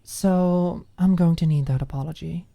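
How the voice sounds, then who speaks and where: A woman's voice: on the low side, fairly even intonation, moderate pace, creaky, mellow. A woman voicing a cocky, confrontational college woman in an audiobook, taunting, smug and sarcastic. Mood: challenging.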